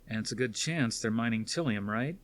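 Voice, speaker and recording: A man's voice: mid-range voice, fairly quick, a bit bright, close to an everyday voice. A man voicing an earnest, frustrated young pilot, the commander's son, in a story reading. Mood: brisk.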